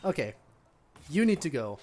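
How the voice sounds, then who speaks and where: A woman's voice: sits low, sing-song, moderate pace, warm tone. A woman streaming a video game, giving casual, offhand commentary as if half talking to herself while she plays. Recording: game music behind the voice. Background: video game music.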